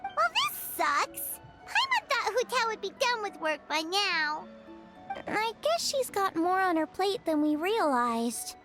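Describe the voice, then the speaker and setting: Teeny chirpy fairy girl from a video game, crazy high and squeaky, really bouncy. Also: wildly swooping pitch, slow, heavily acted. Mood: disappointed. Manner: squeaky, childlike, speaks of self in third person. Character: tiny childlike floating fairy companion, chirpy, food-loving girl. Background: background music.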